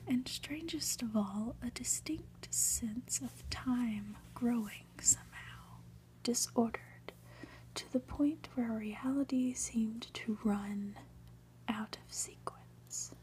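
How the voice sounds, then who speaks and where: A woman reads a story in a soft ASMR whisper. Her delivery is slow and gentle, with a mellow, hushed tone and quiet asides. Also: on the high side, rough recording. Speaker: ASMR reader and host.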